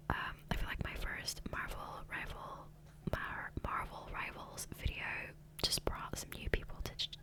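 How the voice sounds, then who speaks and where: Whispering woman, soft ASMR ramble. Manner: rambling, hesitant, self-interrupting. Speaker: ASMR gaming video creator. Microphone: close, sensitive USB microphone.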